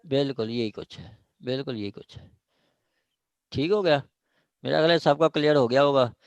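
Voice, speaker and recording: A man's voice: mid-range voice, moderate pace, no echo, highs cut off. A man with a Pakistani accent giving an informal law lecture, explaining step by step and repeating his phrases.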